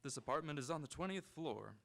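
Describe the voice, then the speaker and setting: A man playing a stubborn, dignified judge in a live radio drama, measured and authoritative. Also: a little high, animated, creaky, a bit bright. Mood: calm firmness. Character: stubborn, dignified, self-assured male judge. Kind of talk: live old-time radio drama acting.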